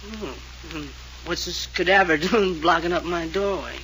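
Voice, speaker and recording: A man's voice: fairly high pitch, normal speed, pushed and bright. An actor playing a tipsy, flippant, well-bred man, giggly and mock-polite, in a 1940s radio mystery. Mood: amused, befuddled. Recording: noisy old radio transcription.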